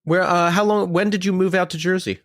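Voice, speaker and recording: A man's voice: sits high, steady tone, brisk, slight background noise. A man hosting a podcast, reading an ad and then interviewing a guest in an upbeat, chatty way. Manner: upbeat, chatty, laughs easily. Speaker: podcast host.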